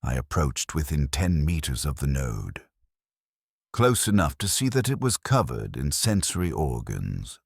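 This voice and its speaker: A man narrating a scripted first-person science fiction horror story in a measured, grave manner. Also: very deep voice, sing-song, gravelly, breathy edge, no room sound, clean studio sound.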